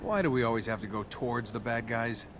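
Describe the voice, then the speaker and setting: A man's voice: mid-range voice, quick pace, noisy background, lightly acted. An actor playing a wisecracking young male adventurer in a video game, with a sardonic, quippy, wary manner. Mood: dry, sardonic. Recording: loud music behind the voice.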